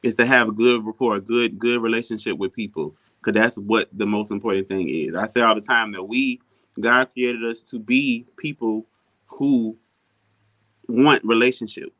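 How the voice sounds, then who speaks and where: A man's voice: fairly low pitch, fairly even intonation, normal speed, a bit bright, poor audio. Man co-hosting a casual podcast. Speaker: podcast host. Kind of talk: casual conversational interview.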